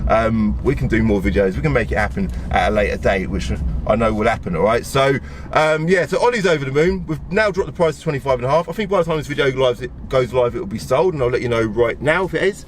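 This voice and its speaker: A man with a croaky voice from a cold, chatting casually while he drives, repeating himself and tagging on questions. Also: mid-range voice, animated, fast talker, few pauses. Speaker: car dealer vlogger. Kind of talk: casual vlog monologue. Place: inside a car while driving. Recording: loud car and road noise.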